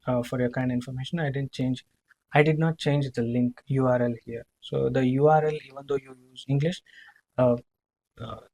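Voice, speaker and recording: A man demonstrating software in a live screen-share walkthrough, speaking haltingly, repeating himself and often checking in with a short tag question. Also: medium pitch. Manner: halting, repetitive, checking in with "right?".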